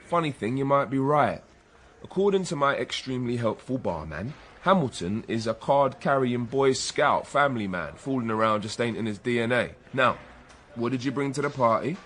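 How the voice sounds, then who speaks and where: A man acting in a radio drama as a brisk, cynical police detective who questions bluntly and dryly. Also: medium pitch, lively intonation, a bit bright.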